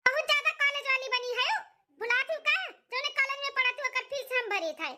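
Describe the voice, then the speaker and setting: An extremely high, childlike cartoon voice acted out as a village woman of an Indian family comedy. The tone is bright and piercing, with a rustic Awadhi accent from eastern Uttar Pradesh. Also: a little fast, heavily acted. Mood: bossy, scolding. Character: women of a village family: bossy sister-in-law, meek young bride, mother-in-law.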